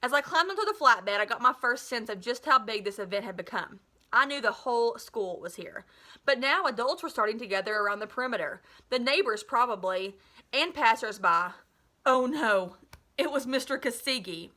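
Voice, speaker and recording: A woman's voice: on the high side, animated, normal speed, sharp tone. A woman in her thirties reading a children's novel aloud expressively, like a teacher, with dramatic delivery and the odd self-correction, recorded in a living room. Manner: dramatic delivery, slips and corrects herself with asides. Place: small living room at home, indoors.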